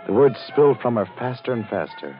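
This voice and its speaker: An actor playing a hard-boiled crime-story narrator in 1940s radio drama, setting a tense scene dramatically. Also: male voice, mid-range voice, big pitch swings, fairly quick, soft background music, lightly acted. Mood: tense, dramatic. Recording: dull old radio recording.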